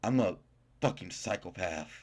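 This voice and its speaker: A man's voice: low-pitched, expressive, normal speed, a little mellow. A man voicing a tormented, self-loathing young psychopath from a visual novel, intense and emphatic. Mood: anguished, frantic. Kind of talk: dramatic read-aloud of visual novel dialogue.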